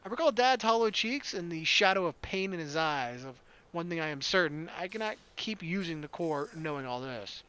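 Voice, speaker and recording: A man's voice: on the high side, expressive, normal speed, few pauses, bright, forceful tone, clear, resonant tone. A man hosting a gaming video, reading a visual novel aloud expressively and dropping in casual asides.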